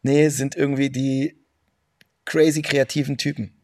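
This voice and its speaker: A man being interviewed who speaks in a flat, gravelly way. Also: mid-range voice, unhurried, no room sound, studio quality.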